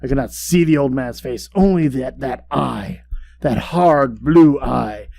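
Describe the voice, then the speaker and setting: Over a humming, noisy recording, a mad murderer played by a man tells his gothic horror story in a mid-pitched voice. He is tense, obsessive and boastful, speaking with theatrical deliberation and swinging between hushed menace and outburst. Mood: mounting dread. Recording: clear background hum and noise.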